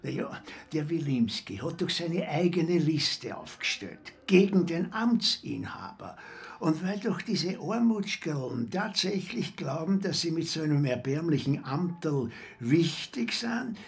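An actor playing a mocking, bossy care-home resident with an Austrian accent who fancies himself a detective in a radio drama. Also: male voice, on the high side, creaky, heavily acted. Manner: mocking, condescending. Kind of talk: naturalistic radio drama acting.

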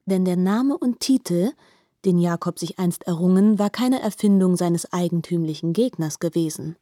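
Woman acting as thoughtful narrator of a biblical epic, soft dark tone, measured, very dry close sound. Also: fairly low pitch, no room sound, natural voice. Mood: reflective. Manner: measured, articulate, reflective. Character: learned, reflective female narrator of a biblical epic. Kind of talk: literary radio play narration.

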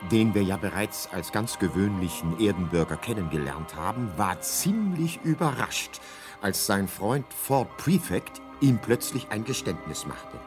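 Droll guidebook narrator, low voice, animated intonation, mock-solemn radio storytelling. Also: male voice, measured pace. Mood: wry recounting. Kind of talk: scripted radio drama narration. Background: music.

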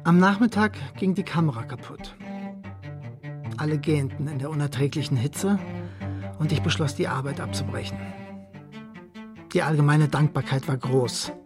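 A man's voice: a little high, moderate pace, pauses often, natural voice. An actor plays a proud, easily irritated man in a radio play. He speaks in dry, wordy, carefully articulated phrases. Prominent string music runs behind his voice. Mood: wry, narrating. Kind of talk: radio play acting and first-person narration.

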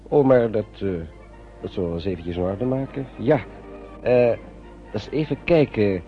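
An actor in a radio play as a fussy, obliging army doctor, hesitant and condescendingly kind. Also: male voice, fairly low pitch, animated, normal speed, lightly acted. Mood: bland, fussy. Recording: dull old radio play, music behind.

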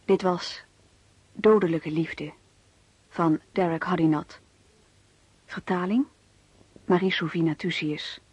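A woman reads scripted radio credits formally and with measured pauses. Her voice is fairly low and a little husky, delivered slowly. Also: fairly even intonation, a little mellow, no room sound, muffled recording. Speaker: radio announcer.

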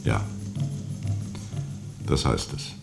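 An actor playing a world-weary steamboat captain who tells of his journey gravely and reflectively, with heavy pauses, in a radio drama. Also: faint music behind. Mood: terse, reflective. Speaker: narrator and lead character.

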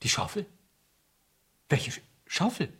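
An actor in a 1970s radio play playing a sly, falsely polite blackmailer with an insinuating manner. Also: male voice, slow pace, a bit bright. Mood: startled, probing. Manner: insinuating, mock-courteous, hesitant when probing.

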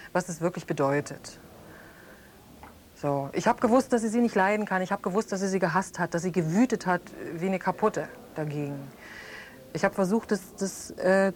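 A woman's voice: medium pitch, darkish tone, lightly acted. A woman playing a reflective close friend being questioned in a naturalistic radio drama, thinking aloud and searching for words. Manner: thoughtful, searching for words, halting. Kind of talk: improvised naturalistic interview drama.

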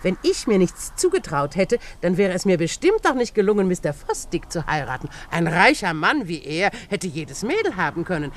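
Low-voiced, domineering old aunt. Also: female voice, sing-song, normal speed, few pauses. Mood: coquettish, flirtatious. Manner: imperious, coquettish, theatrical. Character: domineering, sly, rich old widowed aunt. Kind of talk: German radio play acting.

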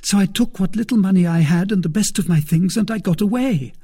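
A man's voice: high-pitched, a little fast, warm tone, husky, pitched above the performer's own voice. A man voicing a frightened, devoted young maid with an emotional, pleading manner in an audiobook story. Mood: frightened, urgent. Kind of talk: dramatised audiobook reading.

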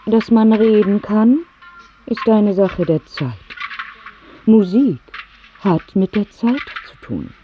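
Radio drama, female personification of Time. Mid-range, matter-of-fact, implacably calm; dulled sound. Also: medium pitch, takes it slowly, a little hiss or hum. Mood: calm, unyielding. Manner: matter-of-fact, terse, unyielding. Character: Time personified, a calm, unstoppable woman always walking. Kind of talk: radio play acting. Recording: muffled radio play, music underneath.

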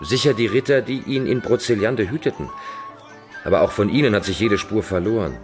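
An actor plays an eager young man steeped in knightly legends. His voice is fairly low, and music plays clearly behind him in this radio play. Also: lively intonation, brisk, lightly acted. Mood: earnest, insistent. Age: young adult. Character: eager young Frenchman versed in crusader legends. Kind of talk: radio drama acting.

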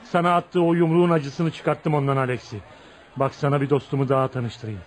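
An actor playing a rough, hot-tempered but loyal tavern brawler with a gruff, blunt way of talking in a radio play. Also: male voice, medium pitch, steady tone, brisk, soft background music, natural voice. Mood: gruff, protective. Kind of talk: Turkish radio theatre acting.

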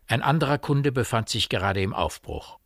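A man's voice: mid-range voice, lively intonation, normal speed, fairly bright tone, no echo, clean studio sound. A man narrating a literary radio play as a scripted storyteller.

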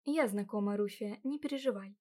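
A woman voicing a modest young heroine who speaks softly and quietly. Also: mid-range voice, fairly quick, close to an everyday voice. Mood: gentle. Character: gentle modest young heroine, soft-spoken.

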